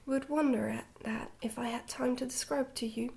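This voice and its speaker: A woman reading a children's storybook in a hushed, breathy whisper, gently and carefully, in ASMR style. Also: fairly high pitch, steady tone, brisk. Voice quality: whispery, breathy, soft-spoken. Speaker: ASMR book reader.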